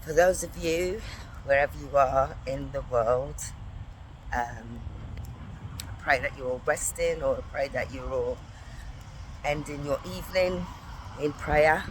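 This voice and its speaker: A woman with a gruff early-morning voice, chatting and praying on a devotional walk-and-talk vlog in a soft, rambling way. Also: rumbling low, measured pace, long pauses, slight background noise, poor audio. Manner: soft-spoken, rambling, prayerful. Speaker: faith vlogger. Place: walking, from a lift to outdoors.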